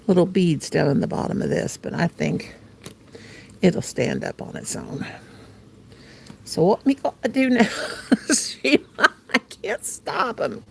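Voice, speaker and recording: A woman's voice: mid-range voice, creaky, a little mellow, a little hiss or hum. An older American woman hosting a casual crochet livestream, playful and silly, laughing and talking to herself as she works. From United States. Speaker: livestream host.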